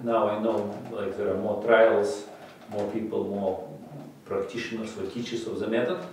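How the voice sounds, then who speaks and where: Non-native middle-aged man, somewhat low voice, halting answers, roomy echo, distant mic. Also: level tone, a little mellow, a little hiss or hum. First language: not English; English his third language. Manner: halting, many fillers and restarts, gestures while talking. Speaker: interviewee, breathing method teacher. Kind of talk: unscripted interview answers. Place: bright office room, indoors. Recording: echoey room from a distance.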